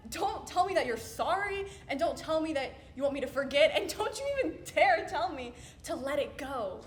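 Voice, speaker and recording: A woman's voice: sits high, lively intonation, very fast, a bit bright, roomy sound, a little hiss or hum. A woman performing an amateur monologue as a wounded, angry young person, insistent and confrontational. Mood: hurt, angry.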